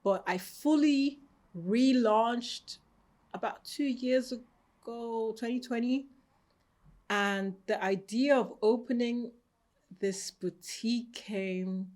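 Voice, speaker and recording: Austrian-raised shop owner, woman, thirties, calm reflective interviewee. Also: a little high, slow. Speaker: boutique owner, guest. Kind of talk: interview answers. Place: her boutique, indoors.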